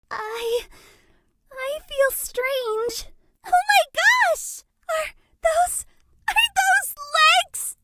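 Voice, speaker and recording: A woman's voice: very high-pitched, fairly bright tone, dry recording, clean studio sound, full character voice. A woman voicing a shy, sweet, lovestruck young ghost girl for a dating game, with a cutesy, bashful manner. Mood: nervous, overjoyed. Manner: cutesy, bashful, gushing, with hesitant breathy asides. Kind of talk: dating game character voice lines.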